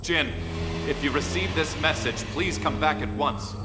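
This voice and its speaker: A man's voice: fairly high pitch, normal speed, close to an everyday voice. An actor playing an urgent, frightened father in a video game, trying to save his child. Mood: desperate pleading. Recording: echoey hall, loud music over dialogue.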